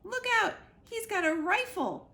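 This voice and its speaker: A woman's voice: very high voice, big pitch swings, measured pace, pushed and bright, reverberant, pitched above the performer's own voice, heavily acted. A woman voicing a grumpy old female farm cat with a scratchy voice in a children's storybook. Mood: urgent warning. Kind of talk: children's storybook character reading.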